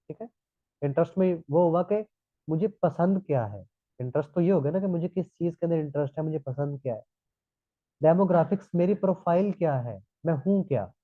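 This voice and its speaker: A man's voice: on the high side, no echo. A man teaches a live online class while nursing a sore throat. He walks through each point and keeps checking in with his students. His voice comes over a tinny, phone-like line that sounds dry and close. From Pakistan. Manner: explanatory, repeats "thik hai" checks.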